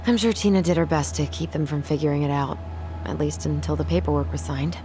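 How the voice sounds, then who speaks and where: A woman's voice: low voice, quick pace, lightly acted. A woman playing a caring, wry young sister in naturalistic, conversational video game dialogue. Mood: casual. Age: young adult. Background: game music.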